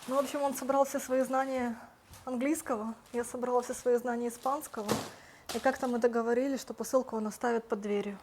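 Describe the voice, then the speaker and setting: Young woman, chatty vlog narration, slightly high pitch, faint background noise. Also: steady tone, brisk, a little mellow. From Russian-speaking, living in Spain. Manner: chatty, storytelling, acts out quoted dialogue. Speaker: vlogger.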